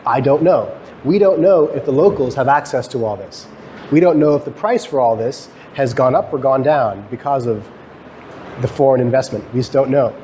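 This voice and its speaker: Man lecturing over clear background noise. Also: medium pitch, moderate pace, warm tone. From Guyana, emigrated to Canada. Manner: conversational, asks and answers own questions. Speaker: lecturer, academic researcher. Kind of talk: lecture to students, explanatory with questions to the class. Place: lecture room, talk to students. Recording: clearly noisy live lecture recording.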